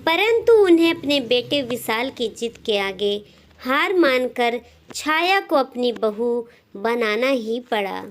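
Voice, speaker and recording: A woman narrating a scripted moral story as a storyteller, in deliberate phrases with frequent pauses. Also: fairly high pitch, animated.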